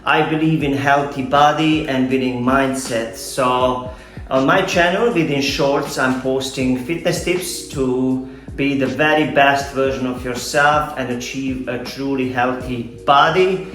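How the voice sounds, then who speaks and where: A middle-aged man with a Serbian accent speaks straight to his viewers in an earnest, deliberate way, often pausing to find words. His pitch sits in an ordinary male middle range. The room adds a clear echo, and faint music plays behind him. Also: a bit bright. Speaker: online fitness coach and video host. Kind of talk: personal vlog talk to camera.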